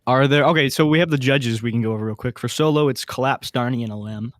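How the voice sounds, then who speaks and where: A man's voice: medium pitch, quick pace, dry recording. Casual man co-hosting a podcast, close dry sound. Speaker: podcast co-host. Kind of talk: casual chat.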